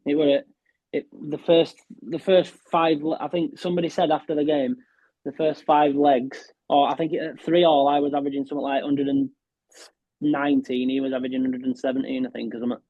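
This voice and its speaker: A man's voice: a little high, level tone, brisk, no room sound. A man with a Yorkshire accent, a professional darts player, chatting modestly as a guest on a podcast, with lots of restarts. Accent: Yorkshire English. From Yorkshire, England. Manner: chatty, modest, frequent restarts and "were" for "was". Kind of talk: casual podcast interview. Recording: tinny phone-like line, dry.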